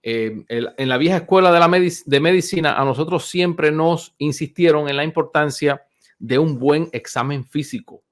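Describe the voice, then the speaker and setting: A man in his fifties, a doctor, giving an informal health talk to viewers, unhurried and conversational with many pauses and restarts. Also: on the high side. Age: 50s.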